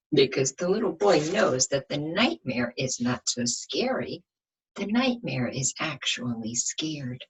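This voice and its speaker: A woman reading a picture book aloud to young children like a teacher, expressive, often asking the listeners questions. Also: deep voice, takes it slowly, husky, rough recording. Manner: asks the listeners questions, dramatic emphasis.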